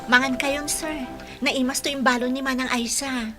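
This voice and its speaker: A woman's voice: high-pitched, steady tone, moderate pace, over loud music, natural voice. A woman acting a caring, devoted young caregiver in a Filipino radio drama, with an attentive manner. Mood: coaxing.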